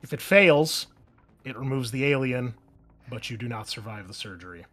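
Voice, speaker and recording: A man's voice: medium pitch, expressive, moderate pace, fairly bright tone. A man running a tabletop game as game master, narrating casually and graphically in informal, sometimes profane language.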